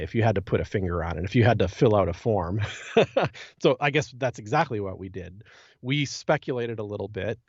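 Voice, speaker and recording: A man's voice: fairly low pitch, a little mellow, no room sound, muffled recording. A man chatting casually as a podcast guest, enthusiastic and rambling, with lots of "like" sprinkled through his sentences.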